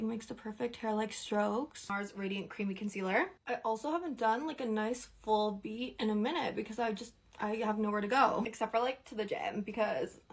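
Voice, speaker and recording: A Canadian woman with a fairly high, faintly husky voice talks viewers through makeup. She is breezy and slangy, and her sentences often tilt upward as though she were asking something. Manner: uptalk, statements rise like questions. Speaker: beauty content creator. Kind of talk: casual chatty makeup tutorial.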